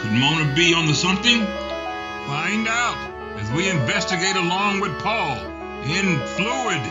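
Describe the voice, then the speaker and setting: A man narrating a radio drama in a measured storytelling manner. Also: high-pitched, animated. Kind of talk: scripted narration. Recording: muffled with music underneath.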